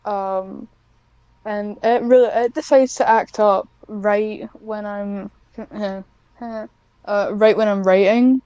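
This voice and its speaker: Hesitant woman with a clear, smooth voice. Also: mid-range voice, steady tone, slow pace, warm tone, no echo, muffled recording. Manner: hesitant, self-deprecating, giggly. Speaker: podcast guest, scriptwriter. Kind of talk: casual interview chat.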